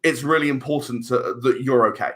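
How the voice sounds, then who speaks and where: A man's voice: mid-range voice, fairly quick. A man chatting casually as a podcast guest, rambling and repeating words as he searches for his phrasing. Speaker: interview guest, filmmaker and audio drama writer.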